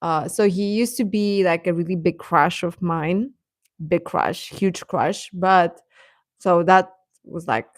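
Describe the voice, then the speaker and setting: Slow-paced woman chatting casually on a livestream. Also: on the low side, no echo, clean studio sound. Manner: chatty, reacting to viewers' comments with questions and repeated phrases. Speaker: livestream host. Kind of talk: casual livestream chat with viewers.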